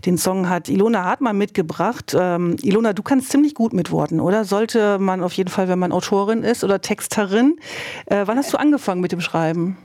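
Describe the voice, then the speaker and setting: A woman's voice: mid-range voice, brisk, darkish tone, dry recording, clean studio sound. A woman hosting a show, asking casual, conversational questions that she often rephrases halfway through. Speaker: radio show host, interviewer.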